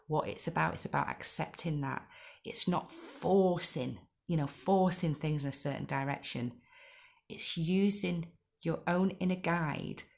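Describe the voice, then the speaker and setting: A middle-aged woman with a slightly croaky voice gives a spoken tarot reading, talking gently, repeating herself and asking rhetorical questions. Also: sits low. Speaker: tarot card reader.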